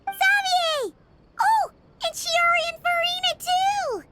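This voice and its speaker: A woman voicing a tiny, childlike fairy companion in a video game, perky, curious and blunt, with squeaky, exclamatory speech. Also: slow, very noisy. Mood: cheerful.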